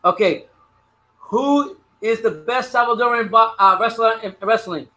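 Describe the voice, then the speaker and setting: A man's voice: extremely high pitch, a little slow, bright, forceful tone. A middle-aged man in a casual chat, playfully quizzing others and dropping in Spanish phrases. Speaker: guest, host's father.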